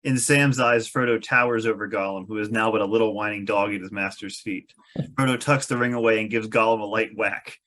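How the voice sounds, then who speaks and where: A man's voice: on the low side, fairly even intonation, a little fast, a bit bright. A man reading out stage directions as narrator at a fantasy script table read, with a wry manner.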